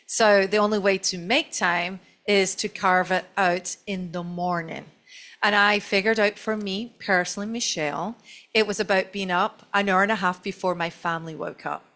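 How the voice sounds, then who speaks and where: A middle-aged woman with a Scottish accent speaks at a stage panel, chatty and self-deprecating as she tells a long personal story. Her voice sits at a middle pitch. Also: a bit bright. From Scotland. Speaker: chief marketing officer on a panel.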